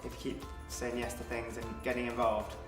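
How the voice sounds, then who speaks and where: Flat-toned young man from South Cumbria. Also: medium pitch, normal speed. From South Cumbria, England. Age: young adult. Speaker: graduate management trainee. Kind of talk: casual interview answers. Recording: roomy, distant mic with music behind.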